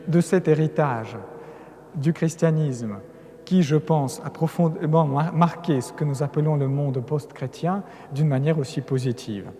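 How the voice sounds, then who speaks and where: A man's voice: a little high, warm tone. A man speaking French as a non-native speaker, giving an earnest Lenten conference talk with emphatic build-ups and some hesitant restarts. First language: not French. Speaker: lecturing cleric. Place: large cathedral. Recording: long cathedral reverb.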